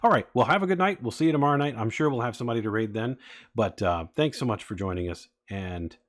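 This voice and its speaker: A man's voice: mid-range voice, animated, fast talker. A man in his forties chatting casually with live-stream viewers, rambling with many fillers as he talks. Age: 40s. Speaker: streamer building toy sets.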